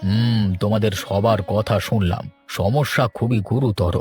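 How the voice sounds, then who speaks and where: A man voicing a grave, deliberate village headman in a cartoon, speaking in a measured, pondering way. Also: fairly low pitch, darkish tone, lightly acted. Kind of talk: Bengali cartoon dubbing. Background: plucked string music.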